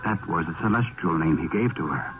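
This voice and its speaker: Deep, portentous old-time radio narrator. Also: male voice, animated. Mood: solemn, brooding. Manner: solemn, portentous, slow dramatic delivery. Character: grave, ominous male storyteller of horror tales. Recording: tinny old radio recording over music.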